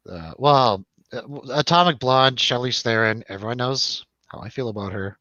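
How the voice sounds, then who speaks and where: A man's voice: medium pitch, moderate pace, sharp tone, no room sound, highs cut off. A man hosting a casual film chat, joking around, hesitating and trailing off. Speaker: host of a film review show.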